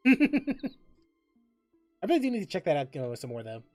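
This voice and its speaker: High pitched guy streamer rambling casually, voice swings up and down, keeps restarting sentences, music behind him. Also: very animated, dark tone. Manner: halting, often repeating and rephrasing himself mid-sentence. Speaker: livestream host.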